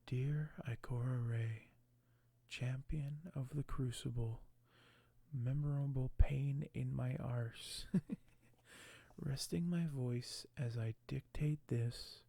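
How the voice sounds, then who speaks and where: Hushed ASMR man, gravelly and slow. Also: medium pitch, dry recording. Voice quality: hushed, soft, often whispered. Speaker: ASMR video creator. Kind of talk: ASMR unboxing and reading aloud. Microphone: close microphone.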